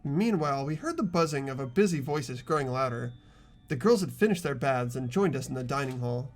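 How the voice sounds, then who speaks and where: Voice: mid-range voice, expressive, fairly quick, natural voice. A performer plays an ordinary teenage boy telling his own story in a video game. The voice sits mid-range and moves expressively, earnest and slightly unsure, over faint music. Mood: narrating. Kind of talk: fan-dubbed visual novel narration and dialogue.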